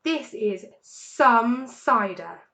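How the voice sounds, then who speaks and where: Woman doing a little boy fox for a storybook, super eager and excitable, really high voice. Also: extremely slow, vocal fry, exaggerated voice. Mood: thrilled. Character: smallest fox, eager excitable young son.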